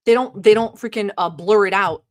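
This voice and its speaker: A woman's voice: mid-range voice, brisk. A woman from Turkey who hosts a livestream, chatting casually and rambling with many filler words, sometimes bossy and sarcastic toward her chat. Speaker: livestream reaction host.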